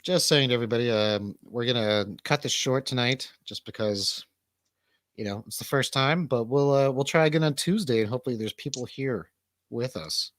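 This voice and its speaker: A man's voice: medium pitch, normal speed, fairly bright tone, dry recording, clean studio sound. A middle-aged man hosting a casual live stream in informal, sometimes sweary chat. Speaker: show host.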